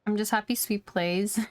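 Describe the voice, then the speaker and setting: A woman chatting casually on a gaming livestream, making relaxed, rambling asides. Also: medium pitch. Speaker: live game streamer.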